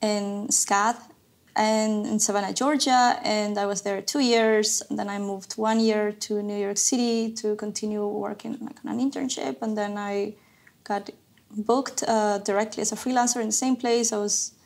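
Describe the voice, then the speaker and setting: Lively woman from Ecuador telling her story. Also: medium pitch, vocal fry. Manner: lively storyteller, eager and quick. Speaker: guest, animator. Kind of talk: casual interview chat.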